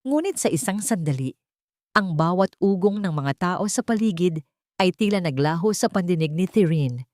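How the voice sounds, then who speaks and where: A woman with a Filipino accent reads a scripted dramatic story in a measured, solemn storytelling manner. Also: fairly low pitch, expressive, darkish tone, dry recording, studio quality. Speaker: audio drama narrator.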